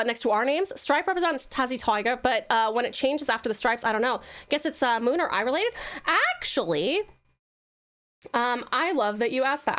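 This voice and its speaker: A woman with a high voice hosts a casual livestream. She talks to her viewers in a chatty, gushing way, sweet and full of endearments. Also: fairly bright tone. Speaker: livestreaming virtual streamer host.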